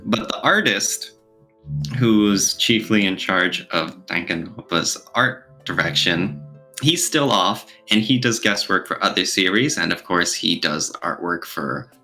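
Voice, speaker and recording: A man's voice: deep voice, animated, a little slow, pushed and bright, faint music behind. A man chatting casually as a podcast co-host, talking in an enthusiastic way.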